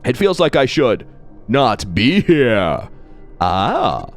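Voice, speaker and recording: A man reading the lines of a young cat-person game hero in a deep, soft, hesitant voice. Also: big pitch swings, very slow, soft background music, exaggerated voice.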